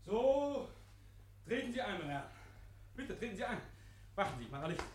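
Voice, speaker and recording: An actor playing a nervous, over-eager murder suspect in a 1950s radio play, effusive, stammering and repeating himself. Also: male voice, high voice, big pitch swings, normal speed, background noise. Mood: over-eager, polite.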